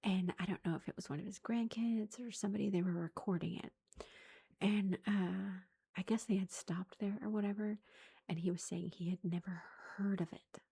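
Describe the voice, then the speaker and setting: A woman in her thirties, a mother, telling a story in a soft whisper, chatty and amused. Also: mid-range voice, fairly even intonation, normal speed, vocal fry, breathy edge.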